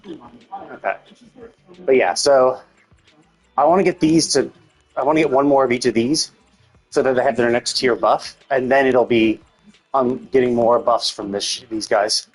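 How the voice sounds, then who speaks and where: A man with a husky, slightly rough voice at an ordinary male pitch, streaming a video game. He talks casually and off the cuff, rambling as he thinks through his options aloud. Music plays behind him. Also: moderate pace, pauses often, low-quality recording. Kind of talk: casual unscripted gameplay commentary.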